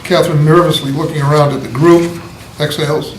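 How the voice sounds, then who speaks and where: A man reading the stage directions of a play aloud in a plain, even way. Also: on the high side, brisk. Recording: roomy echo with faint noise.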